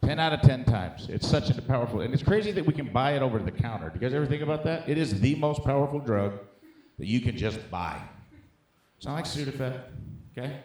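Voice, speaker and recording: American stand-up comedian in an echoey room. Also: male voice, mid-range voice, lively intonation, brisk, darkish tone. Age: middle-aged. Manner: conversational, profane, emphatic, addressing the crowd as dude and guys. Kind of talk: stand-up comedy set before a live audience. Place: indoor comedy venue with live audience.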